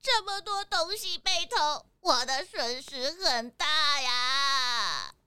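Brief: fussy old hen boss, extremely high, swooping voice, kids' cartoon-style drama, music behind. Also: female voice, lively intonation, slow pace. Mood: indignant. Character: indignant, excitable old hen supermarket owner. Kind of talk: children's audio drama.

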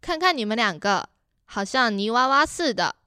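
A woman's voice: on the high side, big pitch swings, normal speed, sharp tone, smooth, dry recording, clean studio sound. A woman acting a caring, dutiful aunt in a radio drama, earnest and persuading. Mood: disapproving. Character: concerned, caring adult aunt, sighing but dutiful.

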